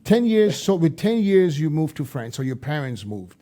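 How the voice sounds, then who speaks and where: A man's voice: on the high side, sing-song, moderate pace, mellow. A man hosting a podcast, chatting casually and often repeating words as he forms his questions. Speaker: podcast host interviewer. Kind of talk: casual interview chat.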